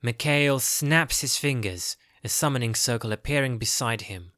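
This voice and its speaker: A man narrates a scripted fantasy story. His voice sits at an average pitch and is recorded close and dry, with no room sound. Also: moderate pace, sharp tone, dry recording.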